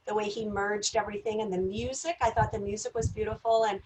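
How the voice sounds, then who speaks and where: A woman's voice: mid-range voice, flat delivery, fast talker, a little mellow, slight background noise, low-quality recording. A woman chatting casually as an actress on an online panel. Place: indoors, online video seminar.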